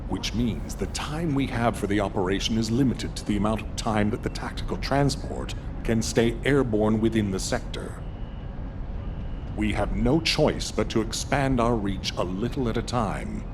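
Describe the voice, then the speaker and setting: A man playing a serious operations leader, delivering measured, resolute briefing dialogue for a video game. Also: expressive, lightly acted. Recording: clear rumbling vehicle noise behind.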